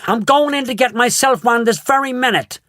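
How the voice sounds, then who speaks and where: A man voicing a spoiled rich little girl who shouts her demands. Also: very high-pitched, fairly quick, dry recording, clean studio sound, pitched above the performer's own voice. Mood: demanding. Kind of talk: storybook read-aloud.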